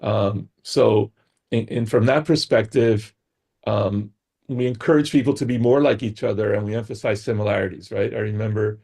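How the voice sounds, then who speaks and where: Gravelly, husky-voiced man explaining ideas. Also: lowish voice, fairly even intonation, moderate pace, darkish tone. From United States. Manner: explains with frequent "right?" check-ins. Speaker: guest expert. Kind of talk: explanatory talk-show conversation.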